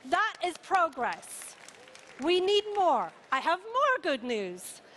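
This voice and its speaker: An Irish-accented woman giving a motivational conference keynote, speaking emphatically with rhetorical questions and deliberate repetition, in a rallying manner. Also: very high voice, sing-song, fairly bright tone. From Ireland. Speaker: keynote speaker, founder and CEO. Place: conference venue, speaking to an audience. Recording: clearly noisy live conference stage.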